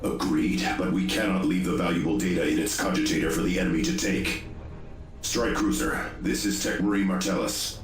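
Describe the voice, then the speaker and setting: A man playing a stern veteran space marine tech-priest in a video game, speaking formally in clipped military phrases with a deep, gravelly voice. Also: steady tone, brisk, echoey room, faint music behind. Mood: measured, formal.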